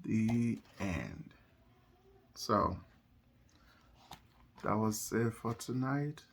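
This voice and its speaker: A woman reading a picture book to children at bedtime in an expressive, dramatic way, drawing out certain phrases. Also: very deep voice, gravelly, husky. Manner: dramatic, with emphasized words and drawn-out phrases. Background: music.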